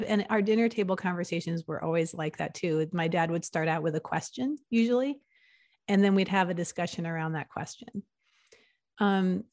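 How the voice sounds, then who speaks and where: A woman's voice: on the low side, dry recording, clean studio sound. A woman from the US East Coast in her forties, a podcast guest talking earnestly and upbeat with frequent restarts. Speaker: guest, nonprofit consultant. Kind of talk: podcast interview.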